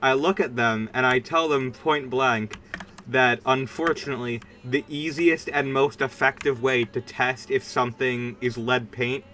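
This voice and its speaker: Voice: medium pitch, steady tone, measured pace, a bit bright. A streamer chatting casually during a game livestream in a rambling, informal and emphatic way. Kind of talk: casual livestream chat while gaming.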